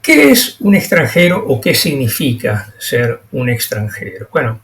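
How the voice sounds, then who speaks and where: A man presenting an explanatory lecture over slides, speaking haltingly with frequent pauses and repeated words. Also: mid-range voice, sing-song, fairly bright tone.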